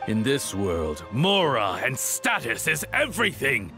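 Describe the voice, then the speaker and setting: Game villain: pompous middle-aged baron, cruel and mocking, slow delivery with sweeping pitch, music beneath. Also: male voice, wildly swooping pitch, sharp tone, full character voice. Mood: mocking contempt. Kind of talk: theatrical anime game villain acting.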